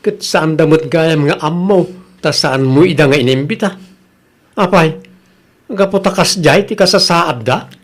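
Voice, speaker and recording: An actor playing a blunt, grumbling middle-aged husband and uncle in an Ilocano radio comedy-drama, with a Filipino accent. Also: male voice, a little high, moderate pace, pauses often. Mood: scolding.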